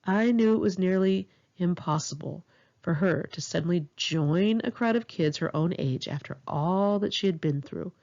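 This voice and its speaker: An American woman narrating her own first-person memoir as an audiobook, in an expressive, confessional storytelling manner. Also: on the low side, normal speed, dull audio. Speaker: author reading own memoir.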